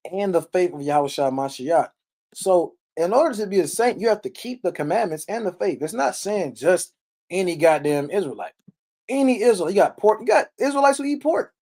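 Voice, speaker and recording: Guy doing informal bible study preaching, kinda high voice, blunt n salty, keeps asking right?. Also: mellow. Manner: emphatic, checks in with rhetorical questions and tags like "right" and "man". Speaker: Bible teacher on a live stream. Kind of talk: informal sermon, verse-by-verse read-along commentary.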